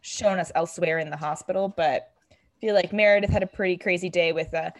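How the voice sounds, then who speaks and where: Booming, chatty young woman, low voice. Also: fairly even intonation, quick pace, no room sound. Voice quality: booming voice that projects. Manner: chatty, full of fillers like "like" and "um". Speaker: podcast co-host. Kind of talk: casual chat recapping a TV episode.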